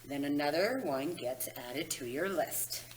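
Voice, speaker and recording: A woman reading the part of a brisk, matter-of-fact ghost supervisor at a screenplay table read. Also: low-pitched, a little hiss or hum. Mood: businesslike. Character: brisk deadpan female ghost supervisor at an afterlife agency.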